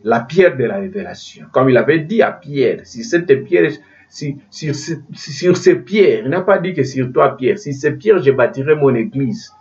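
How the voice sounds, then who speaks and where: A man's voice: medium pitch, lively intonation, a little slow, darkish tone. A man preaching a sermon in French, which is not his first language, emphatic, often restarting phrases and asking his listeners if they understand. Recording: dull lo-fi sound from a distance.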